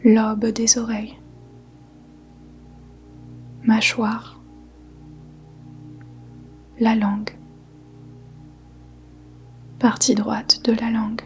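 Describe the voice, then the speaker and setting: A woman guiding a yoga nidra meditation in a soft, breathy, whispery voice, calmly and in measured short phrases with gentle pauses. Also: on the high side. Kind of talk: guided meditation, slow soothing instruction. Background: faint music.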